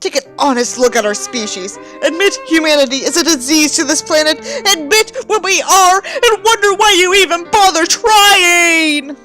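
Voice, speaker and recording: High-pitched, whiny, pompous, melodramatic misanthrope writer played by a woman, mock dramatic reading, faint music. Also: moderate pace, hardly any pauses, pushed and bright. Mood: anguished accusation. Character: whiny, self-important, bitterly nihilistic male writer, mockingly melodramatic.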